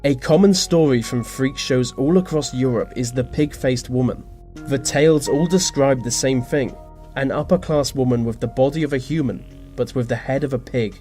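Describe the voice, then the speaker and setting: A man reading scripted narration for a list video, slipping into dry, deadpan asides. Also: mid-range voice, normal speed. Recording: music behind the voice. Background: background music.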